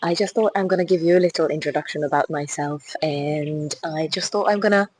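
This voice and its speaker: A woman's voice: deep voice, fairly quick, darkish tone, breathy edge, dry recording. A young woman from Hungary with a Hungarian accent, chatting enthusiastically to camera as she walks along a river path. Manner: chatty and enthusiastic, with restarts and light laughs. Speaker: fitness ambassador. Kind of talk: casual vlog while walking.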